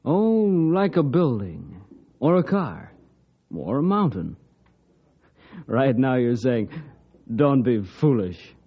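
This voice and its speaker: A man's voice: mid-range voice, muffled recording. A man narrating an old time radio drama for children in a folksy, theatrical storytelling manner.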